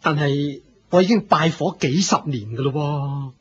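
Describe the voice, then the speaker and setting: A man's voice: a little high, unhurried, husky. A man with a Hong Kong Cantonese accent playing an aging religious leader, proud yet humble, in a theatrical Buddhist radio drama. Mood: humble, earnest. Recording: muffled lo-fi radio drama recording.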